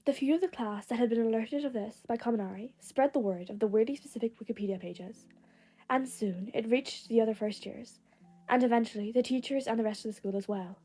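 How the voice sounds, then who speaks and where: A woman's voice: fairly high pitch, brisk, mellow, slightly rough, faint music behind, low-quality recording. A woman reading fan fiction aloud as a scripted storytelling narration. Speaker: podfic narrator.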